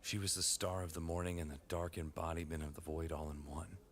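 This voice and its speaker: A man's voice: low voice, little pitch movement, a little fast, a bit bright, a little hiss or hum. A man acting an earnest, wounded warrior who narrates and speaks in a fan audio drama. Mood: grieving, wistful. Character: earnest, wounded male Titan warrior, grieving and exasperated.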